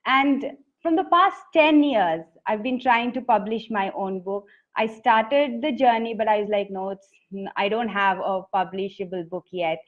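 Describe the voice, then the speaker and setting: A woman's voice: medium pitch, measured pace. Earnest Indian woman author. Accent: Indian English. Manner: earnest, reflective storytelling. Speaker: poet and author guest. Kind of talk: conversational interview answers. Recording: dull lo-fi audio.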